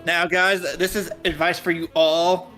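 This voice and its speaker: A man's voice: high-pitched, flat delivery, measured pace, pushed and bright, faint music behind. A man chatting casually on a game stream in a blunt, teasing, sometimes profane way. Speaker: co-streamer voicing game characters.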